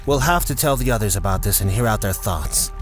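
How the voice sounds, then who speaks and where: A man playing a cautious, calculating clan leader in an audio drama, speaking in a measured, deliberate way. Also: lowish voice, expressive, faint music behind, close to an everyday voice.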